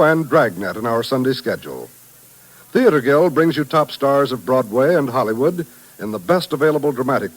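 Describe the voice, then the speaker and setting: A man's voice: medium pitch, poor audio. An American man announcing in a polished, formal 1950s radio style, reading scripted copy on a vintage recording.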